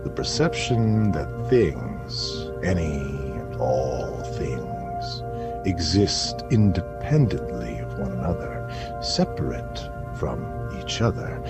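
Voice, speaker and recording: An actor playing an eerie, grandiose radio science reporter, delivering a portentous monologue with dramatic pauses over music. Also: male voice, extremely low pitch, big pitch swings, gravelly, full character voice. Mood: solemn, probing. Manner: portentous, dramatic pauses, swinging from hush to proclamation. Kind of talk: theatrical scripted radio monologue. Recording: music behind.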